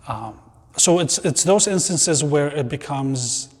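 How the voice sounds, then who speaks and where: A man's voice: on the high side, expressive, unhurried, darkish tone. A young man, a church member born in Syria, telling his own experiences in an interview in an earnest, reflective way, often restarting his sentences. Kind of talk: conversational interview. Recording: long reverb in a hall.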